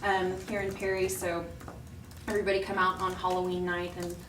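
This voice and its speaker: A woman's voice: fairly low pitch, brisk. A woman giving a friendly, informal report at a public meeting, with frequent ums. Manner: friendly, many ums, laughs easily. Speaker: local business organization representative. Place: council chamber. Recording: echoey chamber, distant mic, steady background noise.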